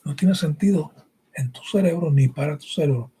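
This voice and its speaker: Male preacher, very husky and rough voice, mellow tone, native English-Spanish bilingual, didactic, emphatic. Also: fairly high pitch, normal speed. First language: English and Spanish, raised bilingual. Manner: didactic, emphatic, with frequent rhetorical check questions. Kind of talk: teaching sermon with scripture reading.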